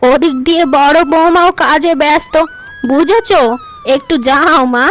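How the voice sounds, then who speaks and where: A woman playing a shrill fussy mother-in-law. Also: steady tone, normal speed, fairly bright tone, soft background music. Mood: fussing. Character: fussy middle-aged village mother-in-law. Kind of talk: cartoon voice acting.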